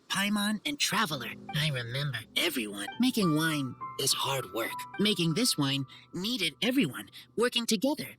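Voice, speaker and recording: A woman voicing an earnest, shy wild boy in a video game, speaking in halting, simple sentences. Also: fairly low pitch, sharp tone. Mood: fond. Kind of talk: animated video game voice acting.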